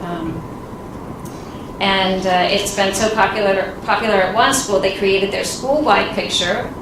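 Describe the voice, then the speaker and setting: A woman's voice: medium pitch, measured pace. A woman, a nonprofit founder, giving an earnest, informal talk on a conference panel. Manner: earnest, informal, with fillers and light laughs. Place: conference room. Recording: echoey room, distant, noisy.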